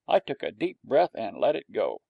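A man's voice: on the low side, huge pitch swings, moderate pace, gravelly, breathy edge, no echo, narrow, tinny audio. A man reading a storybook aloud in a wry storytelling manner. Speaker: audiobook narrator.

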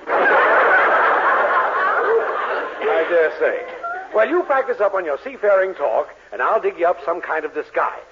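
An actor playing a fussy, scheming middle-aged husband with a pompous, dryly sarcastic manner in a 1940s radio sitcom. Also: male voice, sits high, animated, brisk, breathy edge, exaggerated voice. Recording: dull, noisy 1940s radio recording.

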